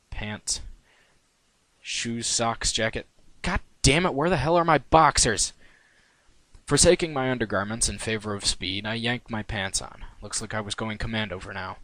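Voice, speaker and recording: A man narrates a first-person comic story in a fairly low voice. His delivery is wry and conversational, like an amateur author reading his own work. The recording is close and dry. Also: a bit bright, dry recording. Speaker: reader of own fan fiction.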